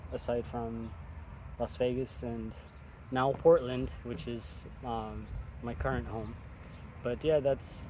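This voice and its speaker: Thoughtful man from Ethiopia, traffic behind. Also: medium pitch. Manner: thoughtful, measured, with frequent ums. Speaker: chef. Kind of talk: casual interview chat outdoors. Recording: outdoor recording with clear traffic noise.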